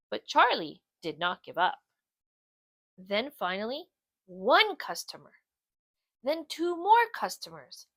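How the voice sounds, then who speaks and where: Animated, unhurried woman storyteller. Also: a little high, dry recording. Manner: expressive, deliberate storytelling. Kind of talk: children's picture book read-aloud.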